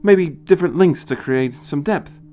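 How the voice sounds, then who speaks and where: A man voicing a practical, slightly snarky woman companion in a storybook, brisk and expressive. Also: fairly high pitch, voice pushed up, natural voice. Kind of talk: dramatized storybook reading.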